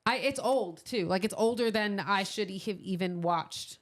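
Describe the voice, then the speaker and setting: A woman co-hosting a podcast speaks at a middling pitch with plenty of vocal fry. She banters casually and enthusiastically, with a playfully demanding edge to her creaky delivery. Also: moderate pace. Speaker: podcast co-host.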